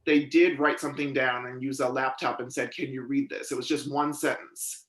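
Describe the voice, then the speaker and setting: A man giving steady, measured first-person voice interpretation of a deaf witness's testimony at a legislative hearing. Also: mid-range voice, roomy sound. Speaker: sign language interpreter voicing a deaf witness. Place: hearing room.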